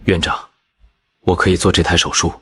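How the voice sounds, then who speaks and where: A man narrating in the first person as a calm surgeon, in measured scripted narration. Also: sits low, slight background noise. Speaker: narrator and lead character, a surgeon.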